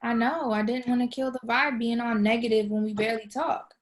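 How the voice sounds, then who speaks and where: A woman's voice: a little high, steady tone, fairly quick, close to an everyday voice. An actress at a table read playing a playful, needy girlfriend in a relationship comedy-drama. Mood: disappointed. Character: playful, needy, broke long-distance girlfriend.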